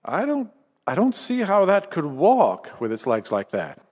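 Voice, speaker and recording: Radio drama countryman: kindly, troubled, halting, slightly raised pitch, reverberant sound. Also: wildly swooping pitch, heavily acted. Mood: concerned, uneasy. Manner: hesitant, avuncular. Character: kindly, uneasy rural farmer talking to boys.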